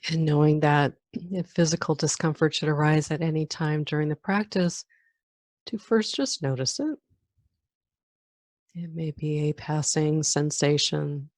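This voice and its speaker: Low-pitched, slow woman guiding meditation. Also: dry recording, studio quality. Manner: calm, gentle instruction with long pauses. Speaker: meditation teacher. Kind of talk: guided meditation.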